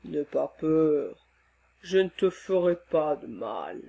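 A woman voicing a huge, gentle male forest monster in a read-aloud storybook, speaking slowly in a deep, hushed voice. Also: sing-song, creaky, husky, full character voice. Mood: calm, grave.

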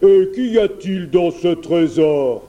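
A man's voice: high voice, animated, takes it slowly, dark tone, dull audio, pitched above the performer's own voice. A man voicing a big, greedy, gullible bear in a children's storybook, growling and exclaiming. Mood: pompous curiosity.

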